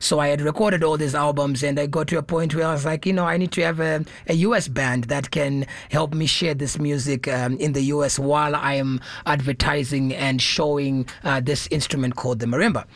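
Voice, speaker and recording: A man's voice: fairly high pitch, steady tone, a little fast, hardly any pauses, no room sound. A man from Zimbabwe with a Zimbabwean accent, answering casually and explaining things as an interview guest, often repeating words as he goes. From Zimbabwe, grew up in Harare. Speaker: interview guest, musician and marimba teacher. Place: radio studio.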